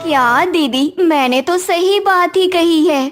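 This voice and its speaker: A woman's voice: very high-pitched, slow, soft background music. A woman playing a vain, spiteful young daughter-in-law in a cartoon moral story, haughty and taunting. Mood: scornful.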